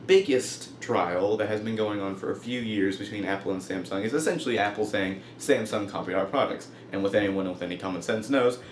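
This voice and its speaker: A young man with a fairly low voice comments on the news casually and humorously. He is informal and wry, leaning into mock-emphatic asides. The recording has a clear room echo and faint noise behind him. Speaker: host of a comedic news web show.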